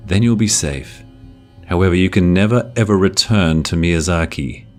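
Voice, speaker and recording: Male narrator as old grandmother, strict, grave, protective, low-pitched, scary storybook, quiet music behind. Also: normal speed, lightly acted. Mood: stern, sorrowful. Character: stern, sorrowful old Japanese grandmother, protective.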